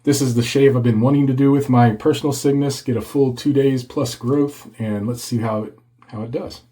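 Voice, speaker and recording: A man hosting a casual shaving video, congested and a bit hoarse from a cold, chatting as he lathers. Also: mid-range voice, steady tone, normal speed, mellow. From Texas. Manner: chatty, reads product blurb aloud. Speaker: shaving channel host. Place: home office.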